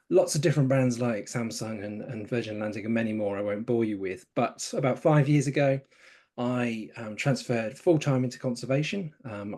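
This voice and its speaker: A middle-aged man, a communications trainer, introducing himself in a relaxed, rambling way with frequent self-corrections. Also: medium pitch.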